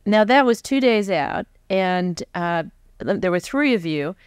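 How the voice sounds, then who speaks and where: A woman's voice: medium pitch, expressive, a little slow, smooth, no room sound, clean studio sound. An American woman in her forties interviewing a guest in a conversational style. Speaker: professional interviewer. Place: stage before a live audience. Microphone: close microphone on a stand.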